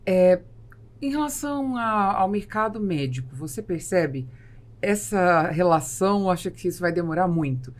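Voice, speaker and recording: A woman's voice: on the low side, expressive, normal speed. A woman hosting a podcast, asking long probing questions. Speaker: host. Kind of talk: casual chat.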